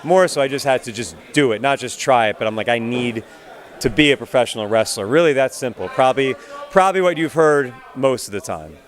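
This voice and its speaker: A man in his twenties with an American accent and a medium-pitched voice. He chats casually as a wrestler in an interview, cheeky and self-aware. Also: expressive, brisk. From United States. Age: 20s. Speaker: pro wrestler being interviewed.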